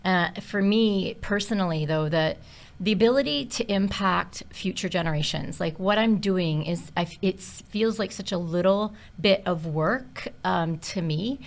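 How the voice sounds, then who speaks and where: A woman's voice: on the low side, creaky. A middle-aged woman, a hospitality instructor, chatting casually on a podcast with many fillers and small laughs.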